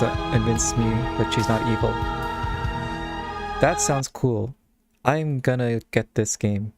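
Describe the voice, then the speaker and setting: A man streaming live, chatting casually and thinking aloud in a rambling way into a close mic. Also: medium pitch. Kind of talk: casual live-stream chat while browsing games.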